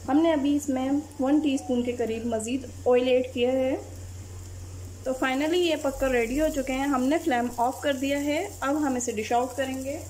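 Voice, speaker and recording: A woman, narrating a home cooking recipe step by step in a calm voice-over as channel host. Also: sits high, normal speed, a little hiss or hum, low-quality recording.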